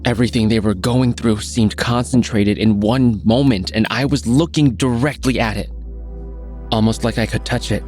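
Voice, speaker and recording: A man's voice: medium pitch, steady tone, moderate pace, darkish tone, soft background music, close to an everyday voice. An actor playing a troubled, withdrawn young man in an audio drama, subdued, terse and guarded. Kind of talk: naturalistic audio drama acting.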